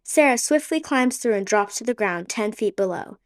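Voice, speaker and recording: A woman's voice: on the high side, expressive, normal speed. A woman narrating a radio play in a vivid, dramatic scripted style.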